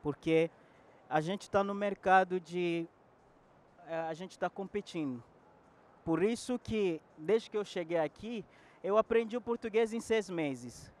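French-native man with a high voice, earnest panel guest on a handheld mic. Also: unhurried. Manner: earnest, searching for words. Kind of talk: casual talk at a public panel. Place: large indoor event hall.

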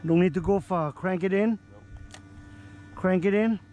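A middle-aged man chatting excitedly while fishing from a small boat outdoors, whooping and laughing at each catch, recorded on an action camera. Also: sits high, unhurried, muffled recording, a little hiss or hum. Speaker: fishing vlogger.

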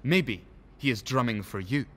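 Man playing a sharp-voiced, sinister ghost guide. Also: medium pitch, animated, normal speed. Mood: ominous. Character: grim ghostly guide to old Edinburgh's bloody past. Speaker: audio tour guide. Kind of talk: scripted storytelling narration.

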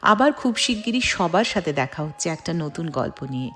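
A middle-aged woman narrates her personal vlog. She talks to viewers as friends, with soft music behind her. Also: deep voice, very animated, normal speed. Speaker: vlogger. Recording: music under the voice. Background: background music.

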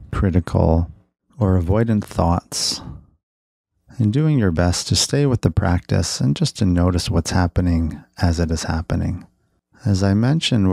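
A man with a low voice guides a relaxation practice at a very unhurried pace. His delivery is gentle and instructional, with noticeable vocal fry at the edges. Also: dry recording, clean studio sound. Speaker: meditation guide. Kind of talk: guided body scan meditation.

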